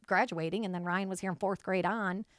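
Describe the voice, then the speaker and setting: A woman's voice: medium pitch, fairly even intonation, brisk, dry recording, clean studio sound. A woman in her forties from Michigan, earnestly answering interview questions and often agreeing with "right.". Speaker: school board candidate.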